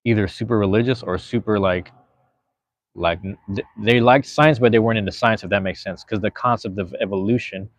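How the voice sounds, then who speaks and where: A man's voice: fairly low pitch, a little fast, dry recording. A man in his thirties chatting casually as a podcast guest, rambling and slangy, swearing freely. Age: 30s. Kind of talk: casual chat.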